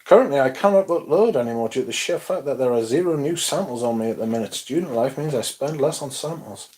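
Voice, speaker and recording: A young man hosting a casual livestream, reading chat comments aloud and chatting in a loose, relaxed way with casual swearing. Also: mid-range voice, fast talker. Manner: laid-back, swears casually, mumbles asides, reads chat aloud. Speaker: livestream host.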